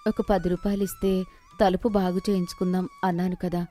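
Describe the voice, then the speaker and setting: A woman's voice: on the low side, level tone, a little fast, dark tone, faint music behind, close to an everyday voice. A woman voicing a gardener's poor, long-suffering village wife in a story, plaintive and outspoken. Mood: worried, complaining.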